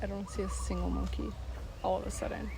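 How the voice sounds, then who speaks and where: A woman's voice: mid-range voice, little pitch movement, fast talker, creaky. A woman chatting informally and musingly in a casual travel vlog. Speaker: travel vlogger. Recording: loud music over voice, vehicle noise. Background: music and vehicle sounds.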